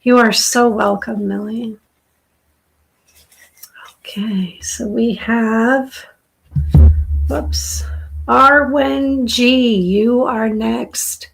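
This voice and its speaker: A middle-aged woman with a raspy, dry-throated voice, slowly and softly giving a live tarot reading as host. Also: on the high side, fairly even intonation, rough recording. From southern New Hampshire. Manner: slow, soft, soothing intuitive reading.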